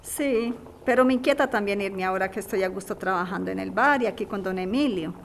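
An actress as a worried working mother, mid-pitched. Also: fairly quick, a little hiss or hum, natural voice. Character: worried migrant working mother, torn and polite. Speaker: actor in an audio drama. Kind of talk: podcast radio drama acting, conversational.

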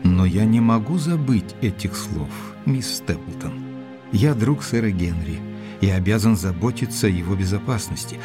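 An actor playing an earnest, gentlemanly doctor in an audio drama, speaking in a courteous, measured way. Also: male voice, lowish voice, lively intonation, over music, close to an everyday voice. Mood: earnest concern. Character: earnest gentlemanly doctor, loyal and cautious, narrating. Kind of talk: audio drama narration and dialogue.

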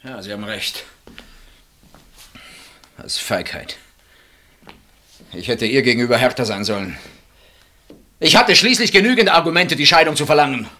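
An actor playing a bitter, suspicious husband in a German radio play, probing and accusatory in manner. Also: male voice, mid-range voice, moderate pace, long pauses, pushed and bright, natural voice. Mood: bitter, defensive. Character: bitter, suspicious husband interrogating his wife's sister.

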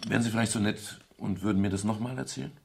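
An actor playing a middle-aged private detective, courteous, wry and a little hesitant, in a German radio crime drama. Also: male voice, fairly low pitch, steady tone, racing, creaky, noisy background. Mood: probing. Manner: hesitant, politely ironic. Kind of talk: 1970s German radio crime drama, dialogue and first-person narration.

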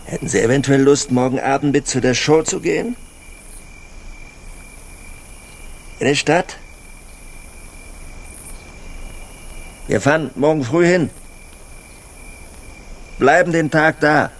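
A man's voice: on the high side, moderate pace. An actor playing a pushy rural youth in a radio drama, talking colloquially and insistently as he courts and brags. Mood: eager, cajoling. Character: pushy rural youth clumsily courting, boasting of his money. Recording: outdoor night ambience with crickets. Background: crickets, night insects.

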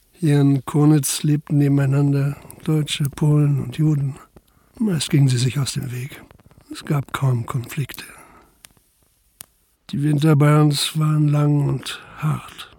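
An actor playing a dutiful, deferential Prussian police officer around 1900 in a radio drama, speaking in a measured, formal way. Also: male voice, on the high side, mellow, husky. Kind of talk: audio drama narration and dialogue.

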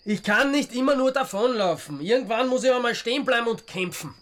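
An actor playing a stubborn, sardonic amateur detective, speaking colloquially with an Austrian accent in a radio drama. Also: male voice, extremely high pitch, animated, brisk, a bit bright. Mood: defensive. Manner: dry, colloquial.

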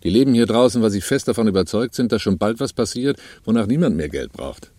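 A cool, seasoned arms dealer in a radio play. His voice is low and husky, and he talks in a dry, matter-of-fact way with a wry edge. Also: animated, fast talker, dark tone, no room sound. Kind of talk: radio drama dialogue and narration.

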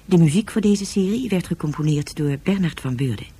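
A woman's voice: fairly low pitch, lively intonation, fairly quick, mellow, husky. A woman announcer reading scripted closing credits for a radio drama series.

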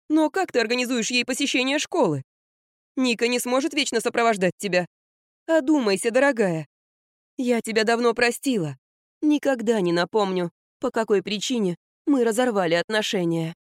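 An older grandmother pleads and insists in a raised, lively voice, as a woman puts her on. Her speech swoops with emotion and drops into coaxing tones. The recording is dry and close. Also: fairly quick, no echo, close to an everyday voice. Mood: pleading. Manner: pleading, coaxing, high and emphatic.

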